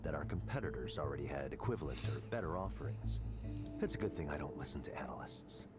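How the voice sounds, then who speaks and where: An actor playing a smug, calculating tech executive in a video game, speaking in a smooth, condescending, deliberate way. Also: male voice, a bass voice, animated, over loud music. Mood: smug, boastful. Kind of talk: scripted visual novel dialogue.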